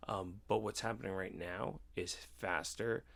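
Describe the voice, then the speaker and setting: A man's voice: deep voice, fairly even intonation, unhurried, gravelly, a bit bright. A man talking casually and earnestly, explaining his point in a loose debate. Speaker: podcast participant in discussion.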